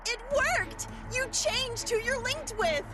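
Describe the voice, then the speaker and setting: A woman voicing a clever, confident, take-charge character in an anime video game, expressive, with music behind. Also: extremely high pitch, slow, shouting, very noisy, heavily acted. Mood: excited.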